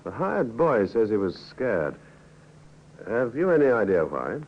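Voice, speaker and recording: Low-voiced, terse police inspector. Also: male voice, very animated, a little slow. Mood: measured, probing. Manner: terse questioning. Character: blunt, probing, measured male police inspector. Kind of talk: 1950s radio drama acting. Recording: dull, noisy old radio recording.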